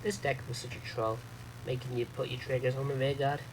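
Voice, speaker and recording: A man's voice: mid-range voice, little pitch movement, brisk. A man streaming a card game live, chatting casually, thinking aloud over his moves and answering chat. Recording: noisy background ambience.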